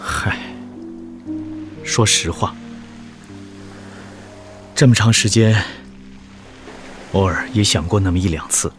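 An actor playing a lonely, homesick married man in exile in a radio drama, gentle and conflicted, with a hesitant manner. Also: low voice, slow, long pauses. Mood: melancholy, gentle. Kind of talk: emotional radio drama acting. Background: music.

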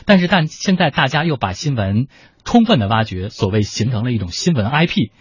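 A man hosting a radio panel discussion, posing questions in a measured, formal way with a husky voice. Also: medium pitch, sing-song, no room sound. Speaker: host. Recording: dull, muffled audio.

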